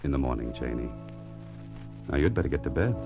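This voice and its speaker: A very deep-voiced private investigator in a 1940s radio drama. He is wry and self-mocking but soft-hearted. The old recording is dull, with clear music behind him. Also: animated, a little fast. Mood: gentle.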